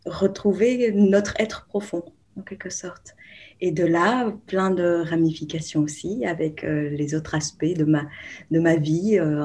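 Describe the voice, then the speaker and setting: A woman in her forties speaks in an interview, unhurried and reflective. Her voice sits a little low and sounds dark and mellow, and she pauses often to find her words. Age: 40s. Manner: slow, searching, many hesitations. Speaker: therapist guest.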